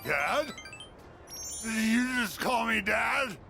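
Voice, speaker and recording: An actor playing a gruff, lonely, middle-aged drunken ex-businessman in a video game, with a blustering, slurred drawl. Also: extremely high pitch, animated, extremely slow, bright, forceful tone, shouting, soft background music, heavily acted. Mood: irritated, drunken bluster. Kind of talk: English video game voice acting.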